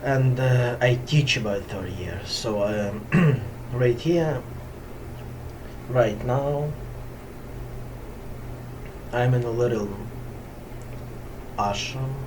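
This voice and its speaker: Russian accent guy, yoga teacher chatting, meditative and halting, mic kinda far away, noisy room. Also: mid-range voice. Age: middle-aged. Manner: meditative, halting, searching for words. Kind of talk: casual talk to camera. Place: small indoor room at home ashram. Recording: distant mic, clearly noisy room sound.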